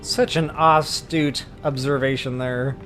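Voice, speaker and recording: A man's voice: medium pitch, steady tone, slow pace, music in the background. A man giving casual, slangy gameplay commentary as the host of a walkthrough. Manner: slangy, informal. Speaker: let's play walkthrough host.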